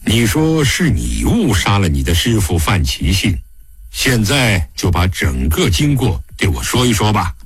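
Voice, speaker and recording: A man's voice: fairly low pitch, sing-song, unhurried, breathy edge, no echo, heavily acted. A man narrating an audiobook, voicing a stern, fatherly investigating magistrate with a weighty, admonishing manner. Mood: grave.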